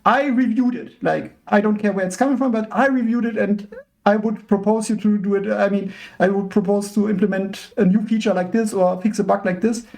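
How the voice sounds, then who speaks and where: Guest voice: man, raised pitch with gravel in it, measured and searching for words. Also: fairly even intonation, darkish tone. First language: German. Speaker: guest, open-source developer. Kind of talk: casual podcast conversation.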